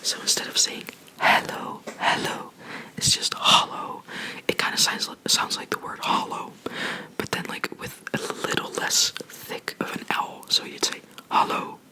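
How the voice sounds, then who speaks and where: A person giving a whispered ASMR language lesson, explaining in a soft, rambling, chatty way close to the microphone. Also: unhurried, poor audio. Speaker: language teacher.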